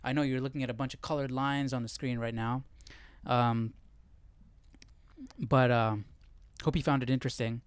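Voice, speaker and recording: A man with a smooth, clear, mid-pitched voice hosts a casual Bible study livestream. He reads aloud and thinks out loud in an informal way. The sound is dry and close. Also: fairly even intonation, moderate pace, no echo. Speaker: live Bible study host.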